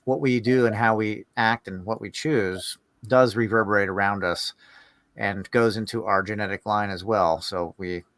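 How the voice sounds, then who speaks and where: A man with a fairly low voice hosts a casual interview. He is agreeable and picks his words haltingly, in a very dry recording. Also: fairly even intonation, fairly bright tone, dry recording. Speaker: podcast host.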